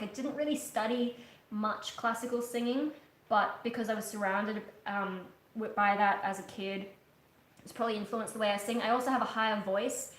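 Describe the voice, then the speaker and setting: A woman's voice: mid-range voice, echoey room, a little hiss or hum, rough recording. A young woman chatting casually on a livestream from her living room couch, rambling with many ums and restarts. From Australia. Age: young adult. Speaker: livestream host, metal singer. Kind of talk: casual live chat. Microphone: webcam at a distance.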